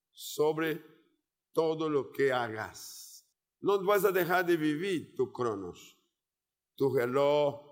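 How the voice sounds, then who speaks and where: A man with a Portuguese accent, a Portuguese native speaker preaching a sermon as a missionary, speaking in slow, deliberate phrases with measured pauses. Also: mid-range voice. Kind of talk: sermon with personal testimony. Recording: long reverb of a large hall.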